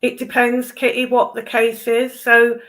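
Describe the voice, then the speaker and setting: A woman's voice: a little high, monotone, unhurried, fairly bright tone. A woman explaining things in a casual discussion, emphatically repeating her points for stress.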